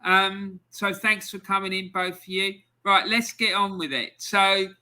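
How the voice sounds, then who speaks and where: A man's voice: high voice, steady tone, unhurried, sharp tone. A man hosting a casual podcast interview, talking in a loose, chatty way with some hesitation. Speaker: podcast host.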